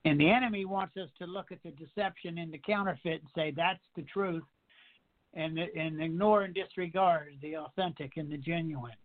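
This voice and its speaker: A man's voice: a little high, steady tone, normal speed. A man in his sixties preaching a radio sermon, stacking up repeated phrases and emphatic rhetorical questions. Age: 60s. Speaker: pastor. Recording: tinny phone line.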